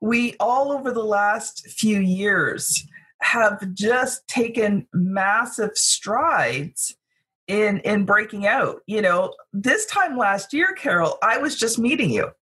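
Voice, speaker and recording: A woman's voice: medium pitch, lively intonation, slow, fairly bright tone, breathy edge, rough recording. A woman in her fifties hosting a casual group discussion, encouraging the others and steering the conversation. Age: 50s. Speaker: host.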